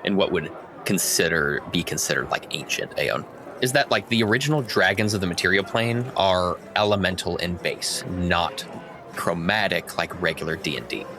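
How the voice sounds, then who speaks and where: A man's voice: low-pitched, moderate pace, over music. A man acting as game master, narrating a tabletop roleplaying game in a conversational way.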